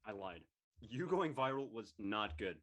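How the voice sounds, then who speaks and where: A man playing a brash, image-obsessed high-school boy in an amateur script reading, blunt and mocking. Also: sing-song, measured pace, a bit bright, exaggerated voice. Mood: aggrieved. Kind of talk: amateur audio drama table read.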